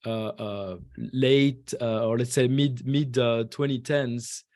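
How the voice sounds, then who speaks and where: Halting French-born man, dry sound. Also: medium pitch, steady tone, darkish tone, no echo. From France. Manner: halting, many fillers and restarts. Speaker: guest investor. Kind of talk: podcast interview.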